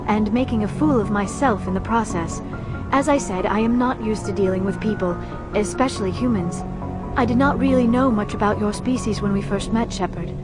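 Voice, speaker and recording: A woman's voice: mid-range voice, natural voice. An actress playing a reserved, earnest young alien woman in a video game, speaking formally and precisely. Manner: formal, precise, few contractions. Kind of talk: video game dialogue acting. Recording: loud background music.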